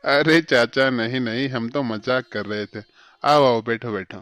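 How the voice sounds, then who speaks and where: A man's voice: medium pitch, unhurried, a bit bright, clean tone, dry recording, natural voice. A man voicing a lazy, boastful crow husband in a children's cartoon, flippant and self-important. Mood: cheeky. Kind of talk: Hindi cartoon voice acting for children.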